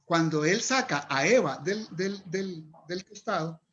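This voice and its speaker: A man's voice: high voice, animated, unhurried, fairly bright tone, a little hiss or hum. A man, an interview guest, explaining scripture step by step in a didactic, teaching way. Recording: muffled lo-fi audio.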